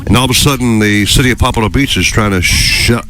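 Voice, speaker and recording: A man hosting a fishing radio show, bantering in a jokey, excitable way. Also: on the low side, fast, noisy background. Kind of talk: casual radio chat.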